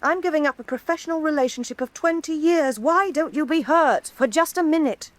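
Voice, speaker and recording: An actress playing a resentful grown daughter. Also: female voice, high voice, fairly quick, dry recording, lightly acted. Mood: reproachful. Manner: earnest and reproachful. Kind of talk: radio drama acting.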